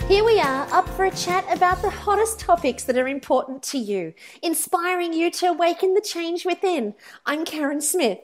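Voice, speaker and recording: A woman co-hosting a casual podcast chat, her voice croaky and hoarse, playful and laughing. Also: very high voice, expressive, normal speed, few pauses, music in the background. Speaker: podcast co-host.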